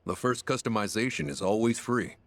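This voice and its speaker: A man's voice: on the low side, a little fast, heavy background noise, lightly acted. A man voicing a polite, formal innkeeper in a video game, deferential and helpful. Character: courteous, formal male innkeeper, measured and helpful. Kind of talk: scripted video game dialogue.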